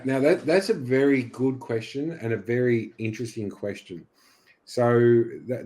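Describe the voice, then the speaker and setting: A man's voice: on the low side, warm tone. An Australian man talking as a guest on a video-call interview, enthusiastic and chatty, often restarting his sentences. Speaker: guest beekeeper. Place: unheated home studio.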